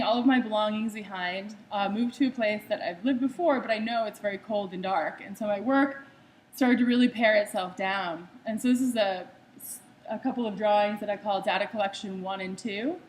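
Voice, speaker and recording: A woman's voice: mid-range voice, a little hiss or hum, poor audio. A young woman from the United States giving a slide talk about her own art. She speaks conversationally, with frequent ums and uhs.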